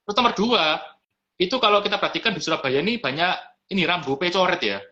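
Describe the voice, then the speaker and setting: A man's voice: high-pitched, animated, fast, bright, forceful tone. Easygoing man. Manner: easygoing, mixes in English business words. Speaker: restaurant owner, guest. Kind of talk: casual interview chat. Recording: lo-fi live stream audio.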